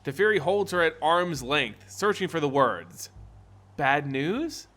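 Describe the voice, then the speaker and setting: A man's voice: fairly high pitch, animated, moderate pace, sharp tone. A man hosting a fantasy lore channel, delivering scripted narration and commentary.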